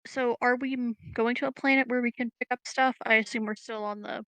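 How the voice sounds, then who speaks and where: A woman with a fairly high, bright voice and a gravelly edge chats casually as a player in a tabletop game, a little unsure. The sound is dry and close. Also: steady tone, fairly quick, no echo.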